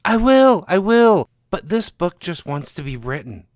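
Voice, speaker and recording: A man's voice: high-pitched, sing-song, measured pace, no echo, studio quality, pitched above the performer's own voice. A man voicing a stubborn, eager male novelist who pleads insistently for his idea in an audio story. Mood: impatient, pleading.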